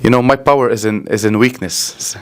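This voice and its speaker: A man's voice: mid-range voice, very fast. A man with a Lebanese accent, a priest explaining earnestly and emphatically in a conversational interview. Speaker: Orthodox priest.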